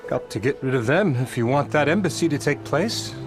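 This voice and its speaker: A man playing a pompous, sly settlement boss in a video game, theatrically flattering and grudging. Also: mid-range voice, fairly quick, music in the background, lightly acted. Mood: mocking, dismissive. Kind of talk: video game character acting.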